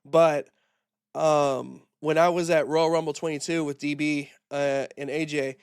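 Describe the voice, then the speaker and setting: A man hosts his own podcast alone, rambling through his opinions with fillers and restarts. His voice sits a little high and is hoarse from a recent illness. The recording is close and dry, with no room sound. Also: steady tone, a little slow, no echo. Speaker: podcast host. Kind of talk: solo wrestling opinion podcast.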